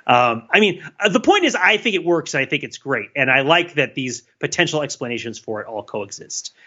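A man co-hosting a podcast, thinking out loud in casual analytical talk, rambling with restarts and laughs. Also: fairly high pitch, big pitch swings, fairly quick. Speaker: podcast co-host. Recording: dull lo-fi sound.

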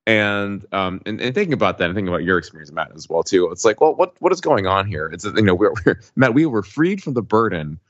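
A deep-voiced man in his thirties chats on a podcast, sharing personal stories in a measured, self-deprecating manner. The recording is very dry and a little dull and lo-fi. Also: big pitch swings, no echo. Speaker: podcast co-host. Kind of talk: casual personal storytelling on a podcast. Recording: slightly dull, lo-fi microphone sound.

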